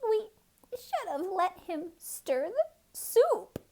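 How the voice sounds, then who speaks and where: Woman voicing a squeaky little storybook squirrel. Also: expressive, exaggerated voice. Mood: tearful regret. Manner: squeaky, sighing, drawn-out delivery. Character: small squeaky distraught squirrel, earnest and tearful.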